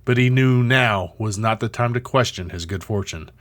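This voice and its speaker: A man's voice: on the low side, moderate pace, a bit bright. A man reading dramatic scripted narration as the narrator of an audio drama. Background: music.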